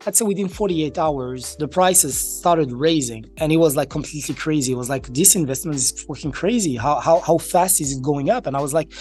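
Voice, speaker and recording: Higher-pitched man telling stories. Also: brisk, hardly any pauses, a little mellow, faint music behind. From Marrakesh, Morocco. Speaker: interview guest, crypto entrepreneur. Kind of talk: casual conversational storytelling.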